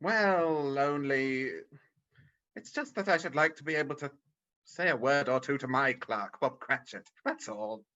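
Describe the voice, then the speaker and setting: An actor playing a defensive, anguished old male miser in a radio-style drama read over a video call, halting and pleading. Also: medium pitch, bright, forceful tone. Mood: hesitant, regretful. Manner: halting, pleading, swings in emphasis. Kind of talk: rehearsed radio-script drama reading. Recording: dull lo-fi video call audio.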